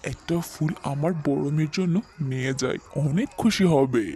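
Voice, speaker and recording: Male actor as a middle-aged cartoon dad, highish pitch, affectionate, weary, plaintive, slight noise. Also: normal speed, a little mellow, natural voice. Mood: grateful. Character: kindly, weary middle-aged father of three daughters. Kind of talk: cartoon fairy-tale acting.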